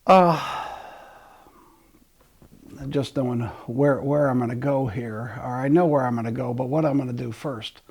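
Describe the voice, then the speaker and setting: A man's voice: mid-range voice, unhurried, a little mellow. A man hosting an audio channel, talking casually to his listeners in a rambling way, often restarting sentences mid-thought.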